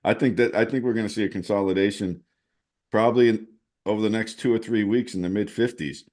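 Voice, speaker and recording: A man's voice: lowish voice, fairly even intonation, fast, darkish tone. A man co-hosting a casual show, talking through charts and thinking aloud with many restarts. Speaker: co-host, chart analyst. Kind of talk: casual crypto chat over screen-shared charts.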